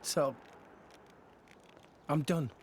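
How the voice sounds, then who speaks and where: A man's voice: a little high, extremely slow, a little hiss or hum. A man playing an earnest, dejected teenage boy hero in a game cutscene. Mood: dejected, resigned. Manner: earnest, self-blaming. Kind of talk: dramatic game cutscene acting.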